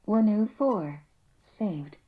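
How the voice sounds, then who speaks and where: A woman's voice: mid-range voice, animated, drawn-out words, slightly rough. A woman's voice giving short automated status announcements as a device prompt. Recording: lo-fi, noisy device prompt playback.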